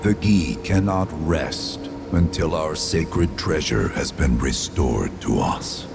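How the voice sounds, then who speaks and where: An actor playing an ancient, sorrowful spirit in a video game, speaking in solemn, archaic, grandiose phrases. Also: male voice, a bass voice, lively intonation, takes it slowly, gravelly, music in the background, full character voice. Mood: aggrieved, pleading. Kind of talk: formal archaic dramatic game acting.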